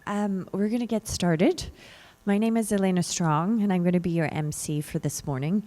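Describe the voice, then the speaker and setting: A woman's voice: fairly low pitch, normal speed, noisy background. A woman emceeing a conference session and introducing the speakers casually, with fillers.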